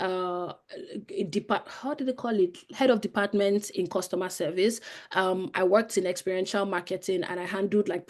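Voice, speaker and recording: A woman's voice: mid-range voice, darkish tone. A young woman from Nigeria with a Nigerian accent, presenting a live webinar in a conversational style with frequent fillers. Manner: conversational, explains with many fillers.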